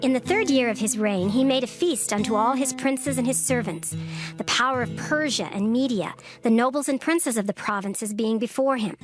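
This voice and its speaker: A woman reading scripture as narrator in a steady, formal way. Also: on the high side, moderate pace. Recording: narration over music bed. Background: music.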